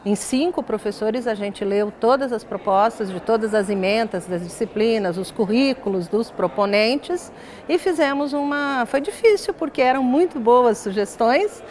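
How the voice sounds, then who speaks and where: A woman's voice: a little high, lively intonation, normal speed, darkish tone. An older woman with a Brazilian accent, explaining things as a professor being interviewed, with frequent tag words. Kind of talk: studio interview, explanatory talk. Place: glass-walled indoor lounge set. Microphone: lapel.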